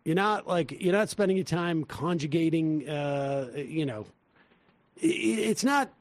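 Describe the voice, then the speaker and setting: A man with a Massachusetts accent talks in a fairly high voice. He reads a sponsor spot casually, wandering off into asides and restarts. The sound is dry and close, with no room in it. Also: lively intonation, a little slow, no echo. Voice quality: loose, rambling delivery with fillers and restarts. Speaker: podcast co-host. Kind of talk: casual political talk and host-read ad.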